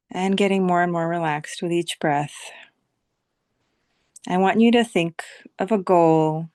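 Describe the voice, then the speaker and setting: A woman leading a guided visualization meditation as a teacher, speaking soothingly in short phrases with long, unhurried pauses. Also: lowish voice, a little mellow, smooth, no room sound, studio quality.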